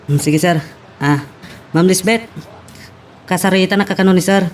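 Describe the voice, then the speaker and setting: A man's voice: sits high, moderate pace, a little hiss or hum, close to an everyday voice. A man playing a deferential employee who reports to his boss, in an Ilocano audio drama. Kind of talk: Ilocano radio-style drama acting.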